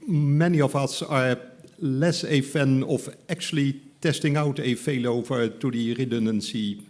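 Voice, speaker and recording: A man's voice: mid-range voice, a little mellow. Man posing a question from the audience. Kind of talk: conversational technical question.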